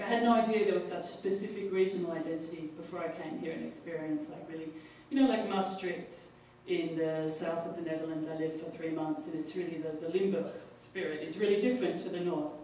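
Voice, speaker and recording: An Australian woman speaking at a panel discussion, halting often with fillers and restarts. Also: lowish voice, steady tone, dark tone. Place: large public hall. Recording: echoey hall, distant mic, faint noise.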